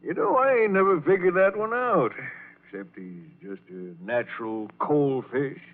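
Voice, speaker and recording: Folksy older roadhouse cook, tinny radio. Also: male voice, a little high, expressive, moderate pace. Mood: knowing. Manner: slangy, folksy, drawling asides. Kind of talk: 1940s radio acting. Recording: tinny, narrow-band old radio recording.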